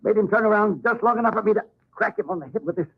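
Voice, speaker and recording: A man playing a wry, defiant marshal with an American accent and a husky voice in an old radio western. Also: high voice, very fast, full character voice. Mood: brisk, urgent. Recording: tinny vintage radio recording.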